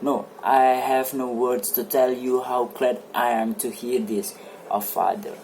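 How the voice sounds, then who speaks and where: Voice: medium pitch, steady tone, unhurried, a little mellow, slight background noise, natural voice, low-quality recording. An amateur reader playing a serious, brooding man who questions an old friend in a stage play, in grave, formal phrasing. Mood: moved, wistful. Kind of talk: amateur dramatic play reading.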